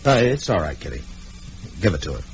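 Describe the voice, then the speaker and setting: An actor playing a stern, shrewd Old West marshal, terse and commanding, with an American accent, in a radio Western. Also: male voice, low voice, very animated, fairly quick. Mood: easygoing. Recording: muffled, noisy vintage radio recording.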